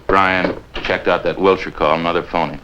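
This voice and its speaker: Low key 50s cop sidekick guy acting, deep n brief, dull hissy old audio. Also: fairly even intonation, moderate pace, lightly acted. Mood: low-key, steady. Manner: brief, plain, unruffled questioning. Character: steady, low-key male police detective partner. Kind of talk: 1950s police drama acting. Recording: dull, noisy vintage 1950s soundtrack.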